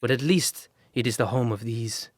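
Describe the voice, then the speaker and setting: A man voicing a tall humanoid blue jay man who leads a camp, speaking slowly and measuredly. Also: mid-range voice, no room sound, clean studio sound, lightly acted. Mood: pleading.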